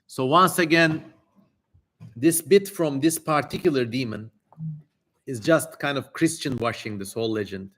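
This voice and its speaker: A man hosting a live reading stream, commenting casually and wryly with hesitant pauses. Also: mid-range voice, fairly bright tone. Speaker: host of a dramatic reading livestream. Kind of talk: live stream commentary between passages of an old text.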